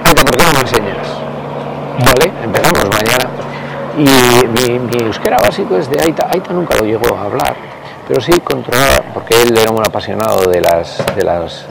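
A man, telling stories as an interview guest, hesitant with many pauses and restarts and some casual swearing. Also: mid-range voice, expressive, creaky. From Basque Country. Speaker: interview guest, party member. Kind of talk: conversational storytelling interview. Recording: loud background noise.

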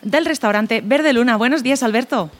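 A woman's voice: on the high side, brisk. Friendly woman radio host. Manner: friendly, laughs easily. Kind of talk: radio interview.

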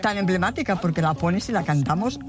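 A woman's voice: fairly low pitch, lively intonation, brisk, over music. An older woman hosting a casual interview, chatty and given to repeating phrases for emphasis. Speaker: interviewer, host.

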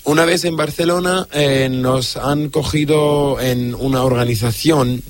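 A man's voice: medium pitch, steady tone. Earnest young man from Syria. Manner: earnest, halting with fillers and restarts. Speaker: guest. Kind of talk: radio interview, personal story.

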